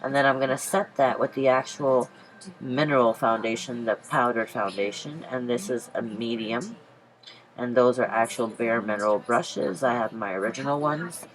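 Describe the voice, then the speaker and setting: A woman with a very low voice, congested from a cold, narrates her makeup routine. She rambles casually, pausing on ums and restarting her sentences. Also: low-quality recording. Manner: chatty, rambling, many ums and self-corrections. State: stuffy from a cold. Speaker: beauty vlogger. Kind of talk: casual makeup tutorial voice-over.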